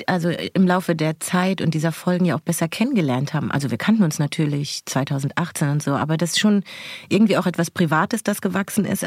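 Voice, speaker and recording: A woman's voice: on the low side, no room sound, studio quality. A German woman co-hosting a podcast, talking in a reflective, searching way with many fillers and restarts. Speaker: podcast co-host. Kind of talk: casual podcast conversation.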